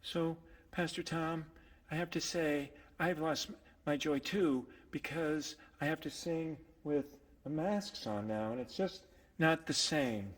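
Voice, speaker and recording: This is a vain singing puppet , acted by a man, with a husky voice. It boasts and complains theatrically in a children's puppet play, with the long echo of a hall around it. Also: on the high side, slow pace. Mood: boastful complaint. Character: vain, self-admiring singing puppet named Gloria. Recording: echoey hall with long reverb.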